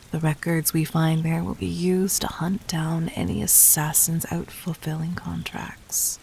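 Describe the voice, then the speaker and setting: A regal, calculating demon queen played by a woman addresses her would-be assassin in an audio roleplay. She speaks very slowly and formally, her soft voice low and creaky at the edges, often sinking toward a whisper. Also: a little hiss or hum. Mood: cold, composed. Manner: measured, regal, formal, deliberate.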